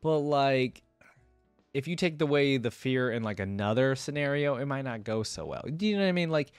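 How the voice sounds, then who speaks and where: A man's voice: mid-range voice, animated, moderate pace, clean tone, dry recording, soft background music. Casual male reaction host, dry close mic. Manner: conversational, checks in with "you know". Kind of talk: casual reaction commentary and story reading. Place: small indoor streaming room. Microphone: desk microphone up close.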